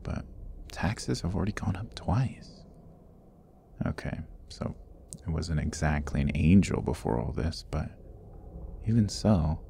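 Man acting a very deep, gravelly roguish thief. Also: animated, unhurried, pauses often, mellow, slight background noise, exaggerated voice. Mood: weary, earnest. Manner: wry, teasing, often dropping toward a murmur. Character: sardonic, roguish male thief jailed in a fantasy dungeon. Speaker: audio roleplay voice actor. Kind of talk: ASMR fantasy roleplay acting.

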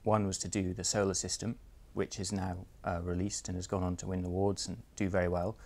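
A man explaining in an interview how an app was made, measured and matter-of-fact. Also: low-pitched, little pitch movement, darkish tone. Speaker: app publisher.

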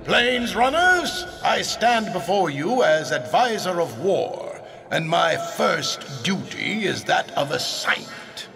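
An actor playing a stately veteran war advisor in a fantasy audio drama, speaking formally and with authority. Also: male voice, high voice, big pitch swings, slow, creaky, over music, full character voice. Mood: proud, ceremonial.

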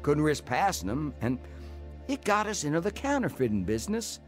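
Hardened criminal, radio drama, first-person, plain-spoken, expressive intonation, music underneath. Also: male voice, a little high, normal speed. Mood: reflective, rueful. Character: tough male career criminal telling his life story.

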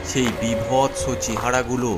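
A man with a mid-pitched voice narrates a ghost story in the first person. His delivery is theatrical and full of suspense, with music clearly playing underneath. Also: little pitch movement, a little slow.

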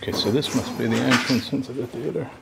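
A man's voice: mid-range voice, fast talker, vocal fry, heavy background noise. A man, a vlogger, casually narrating as he explores abandoned buildings, speaking to the camera. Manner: relaxed, talks to camera while walking.

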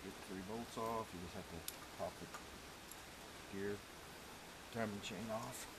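A man's voice: on the low side, fairly even intonation, measured pace. A middle-aged man giving casual, practical how-to instructions in short pieces while he works with his hands in a garage workshop, picked up by a camera mic. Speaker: home mechanic demonstrating an engine rebuild. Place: large metal-walled garage workshop indoors. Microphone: camera mic a step or two away. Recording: noisy workshop recording, clicking tool sounds.

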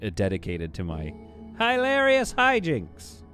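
A Canadian man in his thirties, streaming a game from a home green-screen setup, joking with chat and talking on a boom mic. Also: a little slow, soft background music. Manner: playful, jokey, lively, swings between loud bursts and quiet asides. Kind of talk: casual livestream chat while building in a game. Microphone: studio mic on a boom arm.

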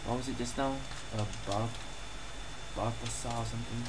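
A man's voice: mid-range voice, fairly even intonation, moderate pace, heavy background noise. A man giving casual gameplay commentary as a streamer, hesitantly thinking aloud and muttering to himself. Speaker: gaming streamer. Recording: loud game music under voice. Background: loud game music.

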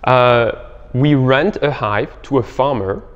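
A young man giving halting, explanatory answers as an interview guest. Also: mid-range voice. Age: young adult. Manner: halting, hesitant phrasing. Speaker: startup co-founder, interview guest. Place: bright indoor living room. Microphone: clip-on lapel mic. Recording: muffled, reverberant room.

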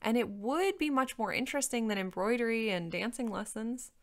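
A woman plays a young storybook princess. She speaks in a raised, lighter pitch, earnest and outspoken, polite yet plainly stubborn. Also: animated, a little fast. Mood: polite, eager. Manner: earnest, forthright.

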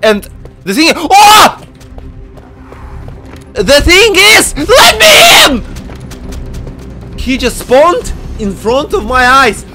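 A man hosting a gaming video with excited, exclamatory commentary, stammering and peppering his talk with slang. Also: very high voice, very slow, pauses often, shouting, faint music behind.

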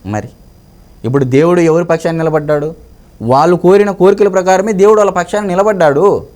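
A man's voice: high-pitched, fast talker, darkish tone. A man giving his political opinions conversationally as an interviewee, emphatic and repeating phrases to drive points home. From Andhra Pradesh, India.

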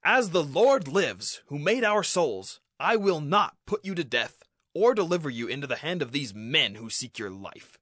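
A man's voice: a little high, big pitch swings, normal speed, a bit bright, no echo, clean studio sound. An actor playing a fearful, weak-willed ancient king in a dramatized scripture reading. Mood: solemn.

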